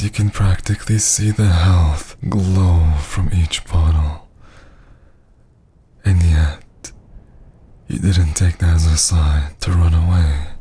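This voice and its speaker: Vampire lord: haughty, menacing, theatrical ASMR delivery, very husky and whispery, low-pitched. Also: male voice, dark tone, exaggerated voice. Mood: suspicious, taunting. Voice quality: breathy, husky, often dropping to a whisper. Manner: slow, theatrical, archaic menacing phrasing. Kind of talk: scripted ASMR roleplay.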